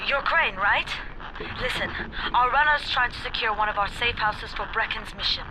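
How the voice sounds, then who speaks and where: Actress as a tough, direct survivor leader, noisy background. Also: female voice, a little high, normal speed, natural voice. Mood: urgent. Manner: urgent, commanding, direct. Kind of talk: video game voice acting.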